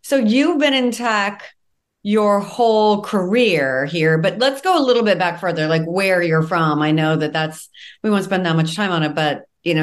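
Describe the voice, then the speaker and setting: A woman with a slightly low voice hosts an interview. She speaks casually and conversationally, as an interviewer chatting with a guest. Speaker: interviewer, host.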